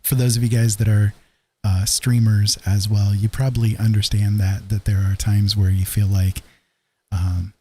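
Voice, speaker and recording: Low, mellow man chatting on a livestream. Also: little pitch movement, husky. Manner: halting, low-key, easygoing. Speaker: livestream host. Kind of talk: casual rambling livestream chat.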